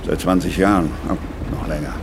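An actor playing an easygoing, chivalrous middle-aged cook in an audio drama, chatting in an affable, folksy way. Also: male voice, low voice, steady tone, fairly quick, creaky, background noise. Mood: friendly, easygoing. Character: kindly grey-haired cook, easygoing, chivalrous older man.

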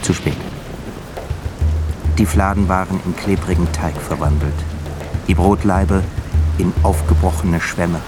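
A man's voice: low voice, fairly even intonation, a little slow, long pauses, music in the background. A man narrating a literary radio drama in a dramatic, emphatic style.

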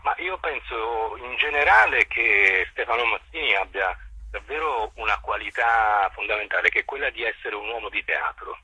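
A man giving a radio interview as a theatre director, speaking in a measured, halting way with elaborate, formal phrasing. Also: medium pitch, bright, forceful tone, husky, no room sound, rough recording. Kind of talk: radio interview, explanatory talk.

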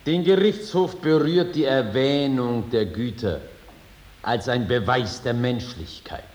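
An actor playing an earthy, sly rogue judge in a 1950s German radio drama, questioning bluntly in colloquial speech. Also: male voice, medium pitch, unhurried, darkish tone, close to an everyday voice. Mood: brusque, mocking.

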